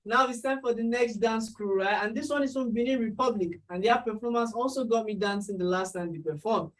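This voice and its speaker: A woman's voice: on the high side, normal speed. Woman, event co-host, hypes the crowd, distant from the mic. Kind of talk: live event hosting.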